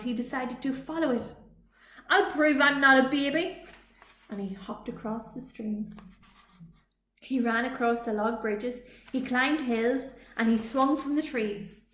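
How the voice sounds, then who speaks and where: Woman storyteller, high voice, slow expressive read-aloud, children's book, roomy echo. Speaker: library storytime reader. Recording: echoey room.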